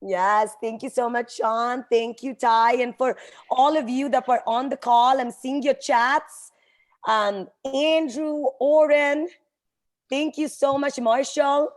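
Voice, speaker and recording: A woman's voice: sits high, fairly even intonation, slow pace. Earnest, emphatic motivational woman speaker. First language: Hindi. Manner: earnest, emphatic, addresses listeners directly as a team. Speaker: guest speaker, company vice president. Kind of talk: motivational talk to a team on a call. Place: online group call.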